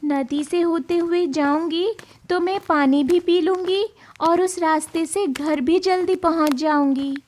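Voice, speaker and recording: A lonely outcast village girl from a cartoon moral tale, voiced by a woman, meek and plaintive. Her voice is high and unhurried, with noticeable noise in the recording. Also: steady tone, a little mellow. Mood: weary, resolved. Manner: plaintive, talking to herself or pleading. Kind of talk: Hindi cartoon moral story dialogue.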